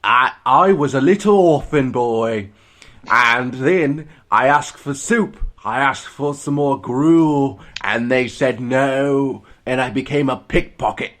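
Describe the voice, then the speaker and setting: A man's voice: medium pitch, a bit bright, pitched above the performer's own voice. A man doing a timid little orphan boy. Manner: halting, stammering.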